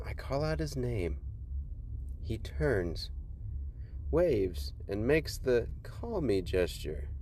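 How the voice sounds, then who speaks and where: A man reading creepy stories as a podcast host, in scripted narration with measured, deliberate phrasing and dramatic pauses. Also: fairly low pitch, darkish tone, a little hiss or hum. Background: faint music.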